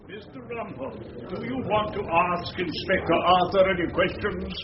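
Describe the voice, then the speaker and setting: An actor playing a lordly High Court judge in a radio drama, dry, formal and impatient. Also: male voice, moderate pace, close to an everyday voice. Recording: dull radio recording with clear noise.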